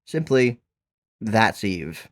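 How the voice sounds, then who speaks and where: A man's voice: on the low side, sing-song, extremely slow. A man narrating a scripted video essay in an earnest, enthusiastic manner, with casual asides.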